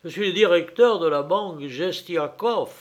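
A man's voice: high voice, moderate pace, pitched above the performer's own voice, natural voice. Pompous bank director, prim and indignant, read by a man.

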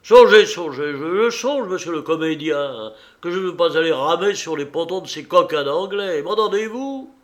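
A man's voice: a little high, steady tone, moderate pace, a bit bright, pitched above the performer's own voice, close to an everyday voice. Man voicing a bellowing, hot-tempered old sea captain. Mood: exasperated, blustering. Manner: blustering, exclamatory, commanding. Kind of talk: audiobook character voice.

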